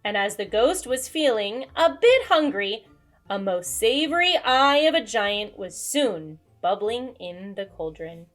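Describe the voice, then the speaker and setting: A woman narrating a children's storybook aloud in a dramatic, expressive, deliberate way. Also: mid-range voice. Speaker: children's book reader. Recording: music behind the voice. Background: music.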